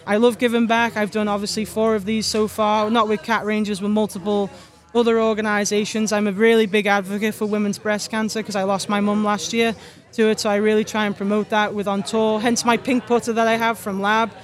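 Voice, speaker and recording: A woman's voice: medium pitch, level tone, a little fast. A young woman from Liverpool with a Scouse-Irish accent, answering interview questions as a golf pro in a chatty, upbeat way. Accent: Scouse with Irish mix. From Liverpool, England. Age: young adult.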